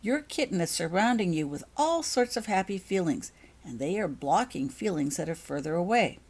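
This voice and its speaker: School matron healer, read by a woman, patient, firm, encouraging, storybook delivery with animated intonation. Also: fairly low pitch, moderate pace. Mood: gently coaching.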